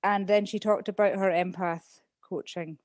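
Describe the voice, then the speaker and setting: Scottish woman guest on a podcast, open and chatty, lots of ums, dry close sound, mid pitch. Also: steady tone, moderate pace, a little mellow, dry recording. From Scotland. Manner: open, chatty, many "um"s while thinking. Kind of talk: casual interview chat.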